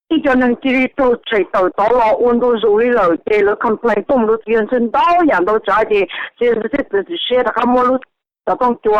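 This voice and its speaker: A woman's voice: on the high side, no echo. Woman on a dull telephone line. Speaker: conference call participant. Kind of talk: casual conference call discussion.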